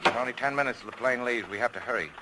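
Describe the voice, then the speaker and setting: A man's voice: medium pitch, rapid-fire, very noisy, close to an everyday voice. A male actor playing a cynical but self-sacrificing American nightclub owner in a 1940s radio drama, with a clipped, tough, terse delivery. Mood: hard, commanding.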